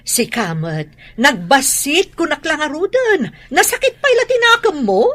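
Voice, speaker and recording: Defensive grown daughter, Ilocano radio drama. Wheedling manner, very lively intonation. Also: female voice, sits high, measured pace, full character voice. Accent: Filipino, Ilocano.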